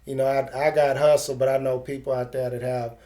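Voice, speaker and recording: A man's voice: medium pitch, little pitch movement, fairly quick, warm tone. A middle-aged man, interviewing in a casual, slangy and profane street-talk style. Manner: informal, slang-heavy, profane.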